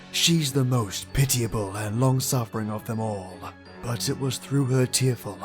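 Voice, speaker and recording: A man playing a grave investigator in a game dub, narrating a grisly case in a measured, formal, brooding way with a husky voice. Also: medium pitch.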